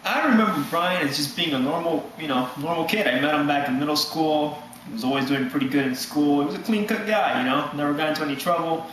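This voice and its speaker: A nineteen-year-old man casually telling a deadpan mock documentary story. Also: a little high, fast talker, hardly any pauses. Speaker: student interviewee. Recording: echoey room with faint noise.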